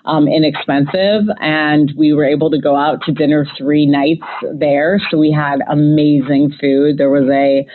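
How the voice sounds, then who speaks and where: A woman from the United States with a self-described loud voice, casually and chattily telling stories about a family trip as an interview guest. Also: low voice, flat delivery, unhurried, hardly any pauses. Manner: chatty, with frequent fillers and restarts. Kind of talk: casual conversational storytelling. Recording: tinny phone-line audio.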